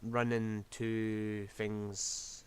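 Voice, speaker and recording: A man's voice: on the low side, little pitch movement, drawn-out words, slight background noise. A man, rambling through casual gameplay commentary while losing his voice. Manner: rambling, scatterbrained, self-deprecating. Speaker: game streamer.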